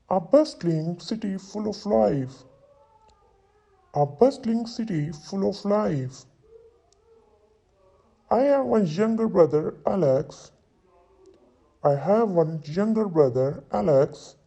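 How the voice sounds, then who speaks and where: A man in his twenties voicing a young London solicitor who introduces himself, saying each sentence twice slowly for learners to repeat. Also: sits high, warm tone, dull audio, exaggerated voice. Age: 20s. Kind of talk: slow repeat-after-me drill reading.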